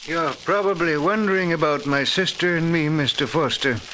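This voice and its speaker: An actor in 1940s radio style playing a courtly, secretive Victorian gentleman with formal, courteous speech. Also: fairly high pitch, moderate pace, rough recording. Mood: confiding. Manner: courteous, formal, smooth-talking. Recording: hissy old 1940s radio recording.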